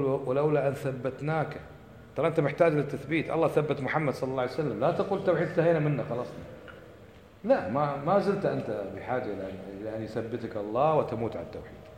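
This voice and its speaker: A man giving an impassioned religious lecture, firing off rhetorical questions and dropping to a low voice for emphasis. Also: a little mellow, a little hiss or hum. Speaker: religious teacher. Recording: echoey hall recording.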